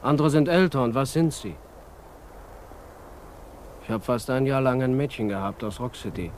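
A man's voice: medium pitch, moderate pace, a little mellow, close to an everyday voice. Man acting a brooding, restless young man. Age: young adult. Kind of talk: 1950s German radio play acting. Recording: 1950s radio recording, faint noise.

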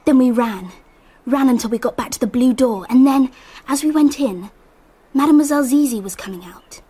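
A woman playing a thoughtful young girl, measured and earnest as she tells a radio drama story. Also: high-pitched, mellow, breathy edge. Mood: reflective. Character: thoughtful young English girl recounting a summer. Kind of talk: radio drama narration and acting. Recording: faint background noise.

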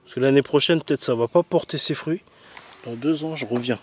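A man's voice: mid-range voice, a little fast, a little hiss or hum. A man chatting casually and slangily in a teasing, mock-complaining way as he films outdoors on a handheld camera. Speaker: vlogger filming a garden. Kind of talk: casual rambling video commentary. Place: outdoors in an overgrown garden. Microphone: handheld camera mic.

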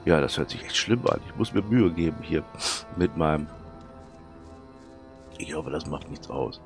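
A man with a cold and a hoarse, thick voice, casually commenting on his gameplay and muttering to himself as he plays. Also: a bass voice, expressive, normal speed, faint music behind. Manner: chatty, muttering to himself while playing. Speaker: let's play commentator.

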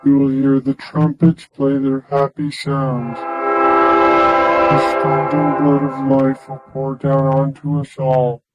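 A man's voice: mid-range voice, flat delivery, slow, lightly acted. A man voicing an ominous unearthly entity that speaks like a solemn prophet in an analog horror monologue. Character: ominous unearthly male entity proclaiming doom. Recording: muffled, loud music behind.

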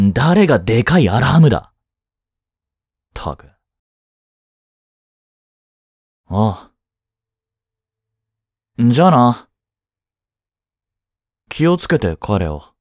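A man acts out a high school boy in a romantic audio drama, the childhood friend with a jealous streak. He is blunt and casual but easily flustered. His delivery takes its time, with a very mobile pitch, in a close, dry recording. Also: darkish tone, dry recording, full character voice. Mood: gruff, fond. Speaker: voice drama actor. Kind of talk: Japanese audio drama acting for women listeners.

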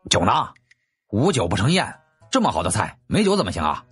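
A man's voice: fairly low pitch, wildly swooping pitch, fairly quick, fairly bright tone, slightly rough, soft background music, full character voice. A man voicing a blunt, grumbling older warrior uncle in a historical audiobook, greedy and fond of drink. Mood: demanding.

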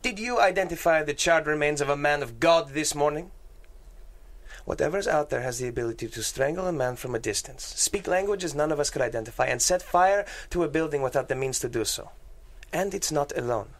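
A man's voice: on the high side, animated, fairly quick. A man reading a blunt, exasperated priest. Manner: blunt, incredulous. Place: small podcast studio.

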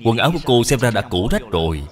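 A man's voice: medium pitch, sing-song, fast talker, lightly acted. A man playing a lecherous, pushy rich man in a cartoon dub, oily and condescending. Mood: smug, coaxing. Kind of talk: dubbed cartoon drama acting.

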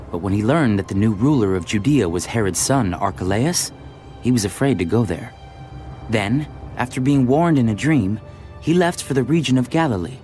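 A man's voice: mid-range voice, a little mellow. A man reading scripted narration for a dramatized audio Bible. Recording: faint music behind the voice. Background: music.

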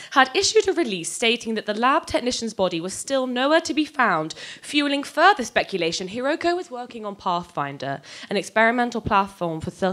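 A young adult woman giving a live, scripted dramatic reading of fiction on stage into a microphone, moving between measured narration and conversational dialogue. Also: medium pitch, a bit bright. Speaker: reader of a short story. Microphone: handheld-type microphone on a stand, close.

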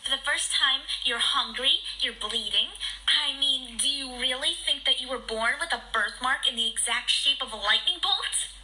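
A woman's voice: high voice, normal speed, bright, forceful tone, low-quality recording. A woman voicing a sarcastic, streetwise female cat in a cartoon fandub, with a mocking manner. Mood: dry, mocking. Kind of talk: animated film fandub.

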